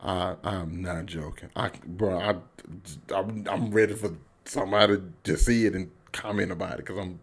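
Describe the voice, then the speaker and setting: A man's voice: sits low, fairly even intonation, moderate pace, breathy edge. An American man giving a casual, unscripted movie review to viewers, enthusiastic and slangy, repeating phrases for emphasis.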